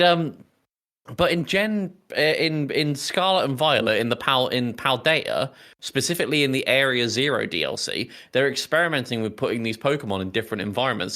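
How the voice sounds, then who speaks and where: A man's voice: mid-range voice, pushed and bright. A man co-hosting a podcast, explaining his point in casual chat with earnest persistence. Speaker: podcast co-host.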